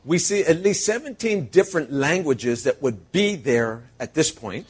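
A man preaching in short, punchy phrases and stopping after each one for an interpreter. His voice sits a bit high for a man, has a husky edge and swings widely in pitch. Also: animated, a little slow. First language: English. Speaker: guest preacher. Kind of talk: sermon with live interpreter.

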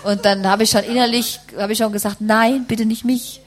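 A woman's voice: fairly high pitch, steady tone, normal speed. A woman working as a live interpreter, rendering a testimony phrase by phrase and rewording as she goes.

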